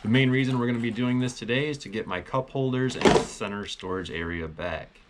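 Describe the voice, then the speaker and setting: A man's voice: mid-range voice, a little fast, very noisy. A man casually walking through a do-it-yourself project in a plainspoken, explanatory way. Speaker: DIY car vlog host. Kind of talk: casual project walkthrough with voice-over.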